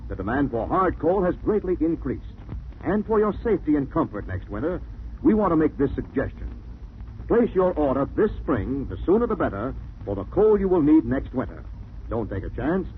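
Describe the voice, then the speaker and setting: A man's voice: on the high side, very animated, normal speed. An American man announcing on 1940s radio in a formal, emphatic, declamatory scripted style. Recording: tinny, noisy 1940s radio recording.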